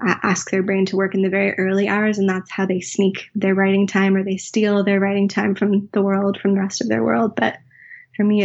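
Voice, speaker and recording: A woman writer and teacher from the United States, answering interview questions in a thoughtful, searching way. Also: mid-range voice. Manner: thoughtful, searching, with restarts and filler. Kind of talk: reflective conversational interview. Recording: dull lo-fi recording.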